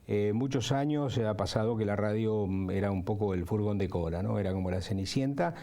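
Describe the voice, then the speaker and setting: A man's voice: fairly low pitch, fairly quick, mellow. A man who works in radio, talking reflectively in an interview. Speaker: radio professional.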